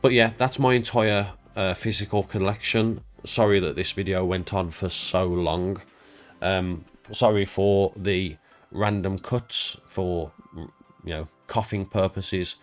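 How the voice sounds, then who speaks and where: A man's voice: low-pitched, steady tone, slow pace, soft background music. A man with a congested, stuffed-up voice, rambling casually and self-deprecatingly as he walks through his game collection for his channel. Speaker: YouTube creator.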